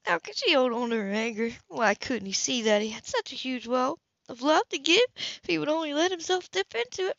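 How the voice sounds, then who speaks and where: A woman's voice: sits high, a bit bright, no echo, dull audio. A woman reading a romance novel aloud as an amateur audiobook narrator.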